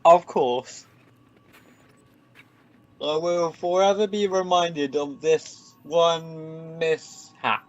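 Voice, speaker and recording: Slow, humourless sci-fi scanner officer played by a man. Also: a little high, steady tone. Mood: smug, dry. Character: Cyrus, cynical, pedantic scanner-reading science officer, humourless. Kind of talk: sci-fi radio play acting. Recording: dull muffled lo-fi recording.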